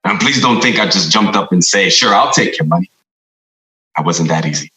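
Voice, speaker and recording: An actor with an American accent playing a guilt-ridden, hard-drinking former Marshal and veteran, brooding and halting through a confessional dramatic monologue. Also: male voice, low voice, lively intonation, bright, forceful tone. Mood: confessional, grim. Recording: roomy echo under music.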